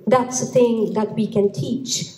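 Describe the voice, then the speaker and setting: A woman panelist explaining a point in a measured manner. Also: fairly high pitch, fairly even intonation, darkish tone. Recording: echoey room.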